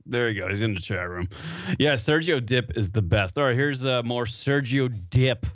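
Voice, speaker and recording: A man's voice: mid-range voice, lively intonation, moderate pace, no echo, dull audio. A man co-hosting a radio show, bantering crudely and mocking a sideline reporter with put-on impressions. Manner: profane, mocking, acts out impressions.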